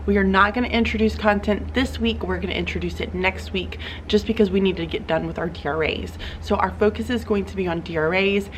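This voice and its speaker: A woman's voice: medium pitch, fairly even intonation, fairly quick, hardly any pauses, slight background noise, rough recording. A young woman teacher, chatting upbeat through her school day in a classroom vlog, with plenty of fillers. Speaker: teacher vlogger.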